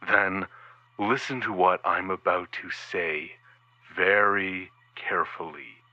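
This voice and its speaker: A gruff, wary private investigator. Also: male voice, deep voice, takes it slowly, sharp tone, no echo, dull audio, heavily acted. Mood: stern. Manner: curt, warning, guarded.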